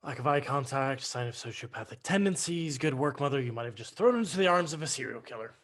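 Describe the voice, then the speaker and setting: A man in a staged play reading, playing a precocious, exasperated son with dry, deadpan sarcasm. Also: medium pitch, fast talker, natural voice. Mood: sarcastic. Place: indoors on a video call. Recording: recorded over video call.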